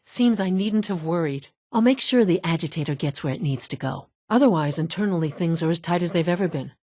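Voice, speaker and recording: A woman's voice: fairly low pitch, lively intonation, a little fast, dark tone, no echo. A woman playing a brusque, dry-witted scientist from an underground institute in a video game, businesslike and wry. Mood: cordial, relieved. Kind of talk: video game character dialogue.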